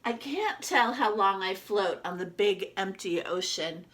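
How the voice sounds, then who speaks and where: A woman reading a children's picture book as a small, timid yellow toy duck, with expressive, dramatic narration. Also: medium pitch, unhurried. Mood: wistful storytelling.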